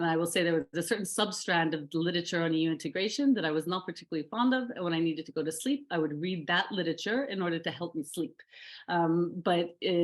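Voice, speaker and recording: Middle-aged woman scholar, lowish voice, thoughtful and searching, full of "um" and restarts. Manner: thoughtful, many fillers and restarts. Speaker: researcher. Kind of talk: reflective interview talk about her academic path.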